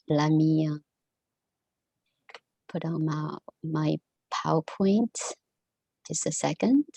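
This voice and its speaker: A woman whose first language is Mandarin gives a halting talk to an online Buddhist study group, full of pauses and fillers. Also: low voice, gravelly, warm tone, husky, no echo, rough recording. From Taiwan. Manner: halting, many ums and restarts. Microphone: video call.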